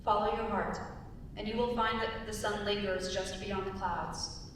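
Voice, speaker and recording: A woman's voice: steady tone, moderate pace, natural voice. A woman acting a solemn, protective goddess in a stage reading. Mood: solemn, firm. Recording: far mic in echoey hall, rumble.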